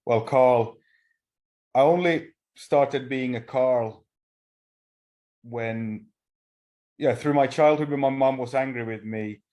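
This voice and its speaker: A middle-aged man from Finland, a native Swedish speaker, telling his personal recovery story at a meeting with halting pauses and restarts. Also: medium pitch, mellow. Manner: halting, frequent restarts and fillers. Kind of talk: personal testimony talk.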